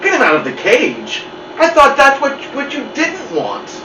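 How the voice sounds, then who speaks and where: Storybook dog, acted by a man: nervous, excitable, protesting, high-leaping pitch, distant roomy recording. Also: normal speed, noisy background, exaggerated voice. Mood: alarmed, puzzled. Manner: incredulous, protesting, flustered. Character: excitable, nervous, literal-minded family dog. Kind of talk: animated children's story reading. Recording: muffled, roomy, distant mic.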